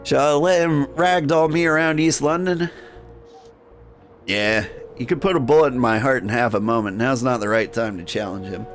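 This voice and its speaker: A man's voice: medium pitch, natural voice. A man voicing a weary, brooding Victorian minotaur who narrates a story game in wry, old-fashioned slang. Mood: resigned, brooding. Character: world-weary male Victorian minotaur, wry, struggling with vices. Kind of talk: first-person game narration in character.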